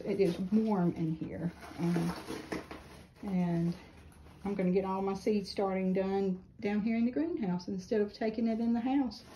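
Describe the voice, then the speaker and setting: Woman, middle-aged, slow rambling vlog talk, mellow tone, phone mic, faint hiss. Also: medium pitch, poor audio. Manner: rambling, unhurried, with many ums and soft laughs. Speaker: flower farm vlogger. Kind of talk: casual gardening vlog. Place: small greenhouse. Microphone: phone camera held while filming.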